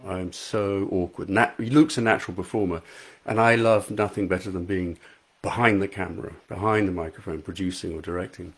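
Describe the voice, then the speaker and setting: A man's voice: deep voice, steady tone, brisk, breathy edge. A man with a soothing voice, an author chatting casually on a live stream from a small room at home, reading out viewer comments and poking fun at himself. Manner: chatty, self-deprecating, reads out viewer comments. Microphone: high quality microphone.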